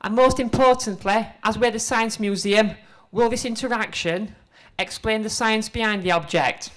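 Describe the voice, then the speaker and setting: Woman, slightly high voice, middle-aged, informal presenter, conversational and halting delivery, handheld mic. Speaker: conference speaker, museum exhibit developer. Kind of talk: informal short conference presentation. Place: indoor presentation room before a seated audience. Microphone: handheld microphone.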